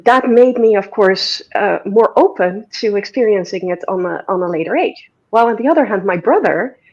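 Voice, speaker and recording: A woman's voice: mid-range voice, lively intonation, normal speed. A woman with a Dutch accent talks casually as a guest, her thoughts rambling through fillers and restarts. The recording is a little dull and lo-fi. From Netherlands. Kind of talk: casual interview conversation.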